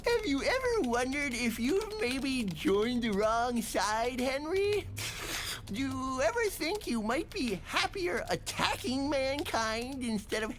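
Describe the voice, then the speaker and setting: A slow, high-voiced insinuating schemer. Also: female voice, a little hiss or hum, heavily acted. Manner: deliberate, probing. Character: poised, insinuating, doubting female penpal. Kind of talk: motion comic character acting.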